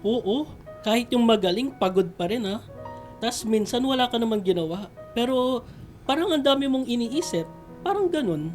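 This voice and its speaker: A woman acts out a popular young athlete in a radio drama, all casual banter and joking complaints. The Filipino-accented voice sits fairly high, with faint music underneath. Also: lively intonation, normal speed, darkish tone, close to an everyday voice. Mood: mock weary. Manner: casual, jokey, grumbling.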